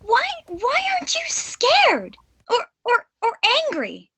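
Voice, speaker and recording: A woman's voice: very high-pitched, wildly swooping pitch, slow pace, background noise, heavily acted. A young woman acting in a radio play as a bratty, defiant young girl, theatrical and indignant. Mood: earnest. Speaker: student actor in radio play.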